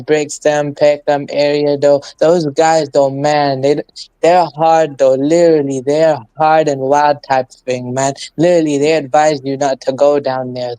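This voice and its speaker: Young man, East London accent, Urdu first language, rapid talk, mellow warm tone, dry close mic. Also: on the high side, smooth, dry recording, rough recording. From England. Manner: fast-talking, tags sentences with "though". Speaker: guest on a call-in stream. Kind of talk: casual chat.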